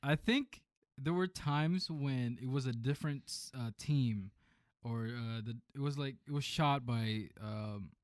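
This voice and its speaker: A man's voice: mid-range voice, a little slow, dry recording, studio quality. A man co-hosting a podcast, casually reviewing a movie and often rephrasing mid-sentence. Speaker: film review podcast co-host.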